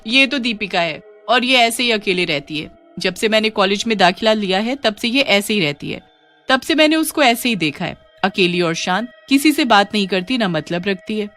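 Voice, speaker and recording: A woman's voice: mid-range voice, animated, normal speed, a bit bright, faint music behind, close to an everyday voice. A woman voicing a friendly young college student in a story, chatty and gossipy. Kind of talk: Hindi story dialogue.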